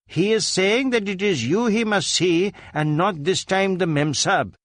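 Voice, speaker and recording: A man's voice: high voice, a little slow, no echo, voice pushed up. A man voicing a deferential Indian household servant who announces things in a respectful, formal way.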